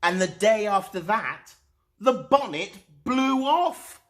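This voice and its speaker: A man reading a children's storybook aloud as host, expressively and with dramatic pauses. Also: sits high. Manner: animated, unhurried, dramatic pauses.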